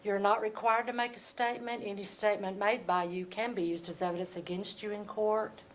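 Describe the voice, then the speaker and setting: A woman's voice: mid-range voice, fairly even intonation, fairly quick. Procedural woman judge, slightly noisy recording. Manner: procedural, persistent. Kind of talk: formal reading of rights and charges.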